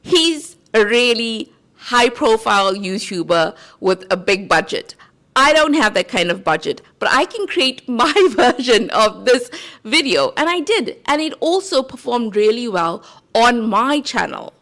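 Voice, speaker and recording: A woman's voice: on the high side, very animated, measured pace, a bit bright. South African woman giving YouTube advice. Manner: earnest, conversational, lots of rhetorical "right?". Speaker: YouTube creator. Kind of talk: casual talking-head advice.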